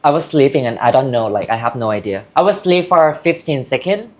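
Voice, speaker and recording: A woman's voice: a bass voice, animated, fairly quick, darkish tone. Candid Thai-accented woman guest. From Thailand. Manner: open, candid talk in loose, broken English. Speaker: interview guest. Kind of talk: casual interview chat.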